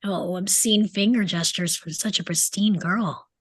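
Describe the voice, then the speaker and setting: A woman's voice: medium pitch, fairly even intonation, measured pace, fairly bright tone, breathy edge, dry recording, clean studio sound. A woman reading the part of a mocking, crude teenage delinquent boy at a table read of a film script. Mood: needling. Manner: mocking, needling, insolent.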